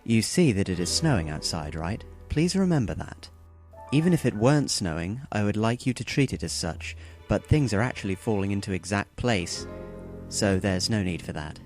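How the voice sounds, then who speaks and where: A man playing a calm, courteous, secretive teenage boy who makes measured announcements in an anime-style audio drama. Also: lowish voice, soft background music, lightly acted. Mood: calm, evasive.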